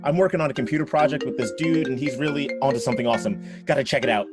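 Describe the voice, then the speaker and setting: A man's voice: medium pitch, racing, music in the background, lightly acted. A man playing an eager, friendly teenage computer geek with casual slang in a radio play. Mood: apologetic, excited.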